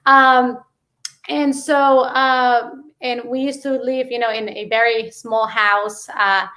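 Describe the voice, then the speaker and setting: A woman with a Mexican accent and Spanish as her first language tells her story casually and haltingly, often saying "you know.". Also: on the high side, level tone, pushed and bright. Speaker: interview guest, businesswoman.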